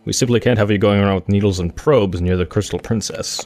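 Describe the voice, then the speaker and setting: A man's voice: lowish voice, fast talker, background noise, natural voice. A man acting a stern, dutiful pony royal guard in an audio drama, formal, protective and suspicious.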